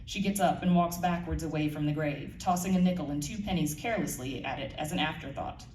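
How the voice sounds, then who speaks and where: A woman's voice: low voice, brisk, a little mellow. A woman giving a live scripted narration of her audio drama, heard in a roomy space away from the mic. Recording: echoey room, distant mic, faint noise.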